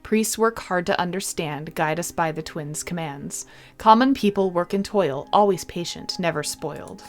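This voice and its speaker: A woman's voice: fairly low pitch, normal speed, natural voice. A woman voicing an earnest young big sister in a storybook game, explaining and reciting in a simple, singsong way. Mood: solemn singsong. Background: game music.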